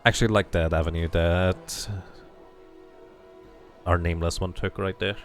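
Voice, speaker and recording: A man's voice: sits low, fairly even intonation, measured pace, soft background music. A man streaming a game, switching between casual commentary and reading the story text aloud as narration.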